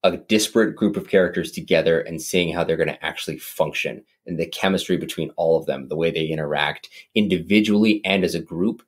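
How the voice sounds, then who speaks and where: A very deep-voiced man holds forth on a horror film in relaxed podcast chat. He rambles, doubles back on himself, and fills his sentences with "like" and "um.". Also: fairly quick, hardly any pauses, husky. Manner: animated, rambling, mimics voices with big pitch jumps. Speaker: podcast co-host. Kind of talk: casual movie discussion.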